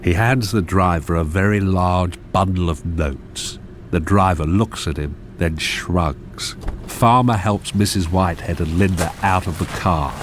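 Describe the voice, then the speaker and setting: A man narrating a radio drama from a script in a measured, descriptive way. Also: low voice, a little hiss or hum. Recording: music clearly behind. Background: music.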